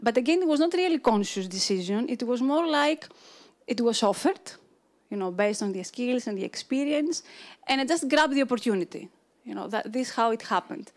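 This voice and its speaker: A woman with a Greek accent talks as a panellist. Her pitch sits a little high. She relates her personal story in an informal, conversational style. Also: expressive, a little fast. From Greece. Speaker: HR director, panel speaker.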